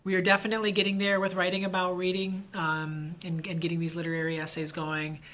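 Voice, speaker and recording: A man's voice: sits high, completely flat delivery, quick pace, sharp tone. A man who teaches fifth grade, chatting casually and enthusiastically with lots of fillers. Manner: enthusiastic, rambling with many fillers. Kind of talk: casual vlog chat.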